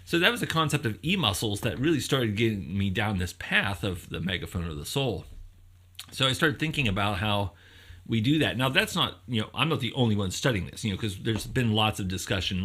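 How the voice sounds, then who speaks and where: Male lecturer, fairly low and noticeably husky, explains ideas conversationally, thinking aloud and questioning as he goes. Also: fairly bright tone. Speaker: professor lecturer.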